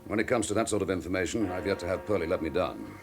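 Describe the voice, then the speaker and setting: An actor playing a determined police chief inspector in a radio crime drama, forceful, clipped and impatient. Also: male voice, deep voice, sing-song, fast. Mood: grim, intense.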